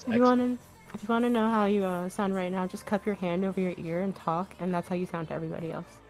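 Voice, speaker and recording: A woman co-hosts a relaxed live stream, laughing easily between answers. Her voice sits at a middle pitch over faint music. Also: fast, poor audio. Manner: light, laughs often, reads chat questions. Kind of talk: casual stream chat.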